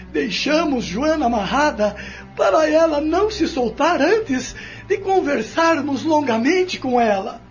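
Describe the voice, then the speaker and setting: Man acting as a high-pitched, weeping, desperate defendant. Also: dull audio. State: crying. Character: distraught young wastrel confessing in court, weeping, desperate. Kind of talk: audio drama acting.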